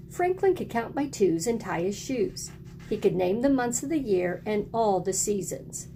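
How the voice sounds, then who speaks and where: A woman with a mid-pitched voice teaches a lesson to young children. She is encouraging, often asking questions and prompting them to repeat. Noticeable noise sits behind her voice. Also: mellow.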